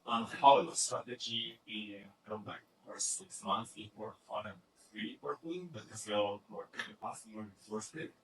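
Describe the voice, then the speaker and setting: A man asking a spontaneous question at a talk in halting fragments, caught by a distant mic. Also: on the low side. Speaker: audience questioner. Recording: distant mic, poor event audio.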